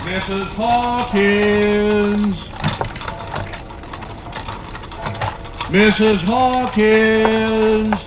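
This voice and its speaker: An old, hissy radio suspense recording carries an obsessed widower. His voice sits high for a man, halting and insinuating, with a nervous, pleading eeriness. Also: fairly even intonation. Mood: calling out. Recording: muffled, noisy vintage radio recording.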